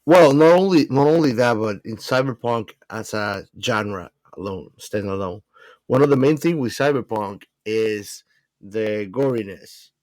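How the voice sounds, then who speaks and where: A man's voice: on the low side, measured pace, darkish tone, no room sound. Emphatic man chatting, dry close sound. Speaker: podcast co-host. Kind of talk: casual film discussion.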